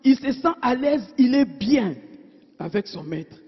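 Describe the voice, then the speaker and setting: Woman preacher, husky and high, rhetorical questions, loud then hushed; muffled church hall echo. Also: lively intonation, unhurried. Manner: asks the congregation rhetorical questions; swings between emphatic and hushed. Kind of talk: sermon. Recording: dull sound in a reverberant church hall.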